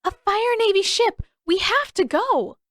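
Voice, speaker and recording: A woman's voice: very high voice, expressive, unhurried, a bit bright, no echo, clean studio sound, pitched above the performer's own voice, heavily acted. A woman voicing an urgent, commanding teenage girl on the run in a fantasy audiobook. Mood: alarmed shouting.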